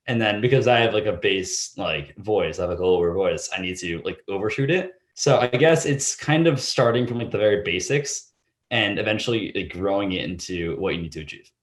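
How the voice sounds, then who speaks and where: A teenage boy talking casually as a podcast guest, rambling and full of hesitant fillers. His voice sits a bit low. The room adds a noticeable echo. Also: expressive, brisk. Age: teens. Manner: rambling, heavy use of "like", hesitant. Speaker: student guest. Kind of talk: casual interview answers.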